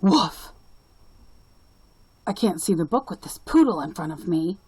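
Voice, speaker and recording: A woman's voice: lowish voice, animated, a little slow, darkish tone, husky, exaggerated voice. Woman putting on a jeering schoolboy bully. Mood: mocking. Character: mean, mocking schoolboy bully.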